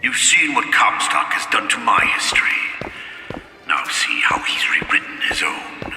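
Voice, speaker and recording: An actor playing a proud, embittered old one-eyed soldier who goads in a theatrical way. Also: male voice, medium pitch, huge pitch swings, measured pace, creaky, exaggerated voice. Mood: taunting. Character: bitter, proud old one-eyed war veteran commander. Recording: echoey hall, loud music behind.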